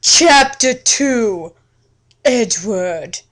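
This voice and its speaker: High-voiced woman, theatrical comic reading. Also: expressive, extremely slow, a bit bright. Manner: theatrical, exaggerated emphasis. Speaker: host and reader. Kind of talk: dramatic comic reading of fan fiction.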